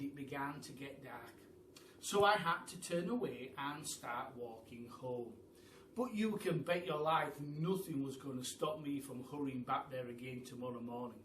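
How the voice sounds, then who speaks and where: A man reads a children's story aloud in a theatrical way, leaning on dramatic pauses and emphasis. His voice sits a little away from the mic in a roomy, echoing space, with faint noise behind it. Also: medium pitch, fairly bright tone, distant mic. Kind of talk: animated storytime reading in a child narrator's voice.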